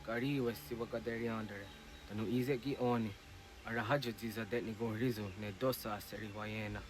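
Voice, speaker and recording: A man's voice: on the low side, steady tone, brisk, a little hiss or hum, lightly acted. An actor playing a serious man in a historical adventure video game. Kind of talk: dramatic video game voice acting.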